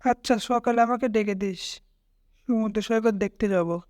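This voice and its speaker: A woman's voice: fairly high pitch, normal speed, darkish tone, dry recording, clean studio sound, natural voice. A woman playing an uneasy young traveller in a ghost-story cartoon.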